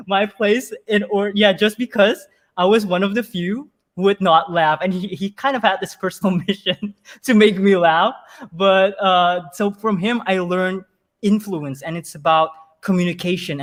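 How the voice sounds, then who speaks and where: A man with a somewhat high voice speaks as an interview guest. He is conversational and anecdotal, leaning on key words for emphasis and often repeating a word while he shapes the next thought. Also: a bit bright. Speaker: interview guest, storytelling and marketing entrepreneur.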